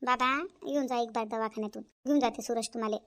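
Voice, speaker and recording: A woman's voice: a little high, fairly even intonation, fast talker, fairly bright tone, lightly acted. A woman playing a bossy, self-praising village mother in a Marathi family cartoon, chatty and emphatic, with a Varhadi accent from Vidarbha. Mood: caring, insistent. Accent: Varhadi Marathi of Vidarbha, India.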